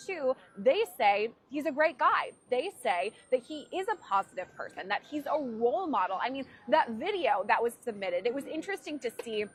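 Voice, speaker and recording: A woman court reporter explaining a case in measured legal news commentary. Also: on the high side, slight background noise.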